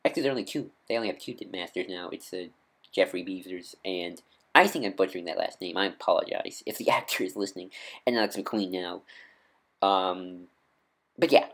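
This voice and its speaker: A man with a nasal voice giving casual, off-the-cuff fan commentary as a vlogger, rambling and halting as he corrects himself. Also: sits low, fairly bright tone. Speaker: YouTube vlogger and podcaster.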